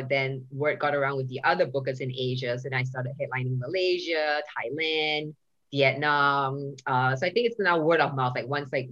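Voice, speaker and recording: Low-pitched woman comic from singapore, chatty, swears, muffled audio. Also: fairly bright tone. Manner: chatty storyteller, swearing and acting out quotes. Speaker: touring stand-up comedian, podcast guest. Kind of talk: casual interview chat.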